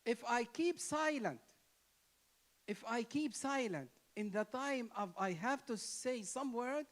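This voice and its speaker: A man's voice: very high-pitched, expressive, slow. A man from Palestine, a native Arabic speaker with a Palestinian Arabic accent, giving an impassioned, emphatic speech at an interfaith gathering. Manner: emphatic, repetitive, rising to stress key words.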